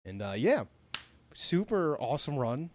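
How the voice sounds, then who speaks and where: A man's voice: fairly high pitch, big pitch swings, measured pace. An American man chatting casually on a live gaming stream, laid-back and laughing often as he reads chat. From United States. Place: home near a big city.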